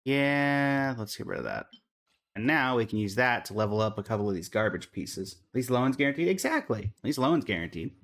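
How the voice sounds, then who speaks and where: A man's voice: medium pitch, lively intonation, fairly quick, a bit bright. A man livestreaming a game, chatting casually and in a friendly way with viewers while commenting on his play.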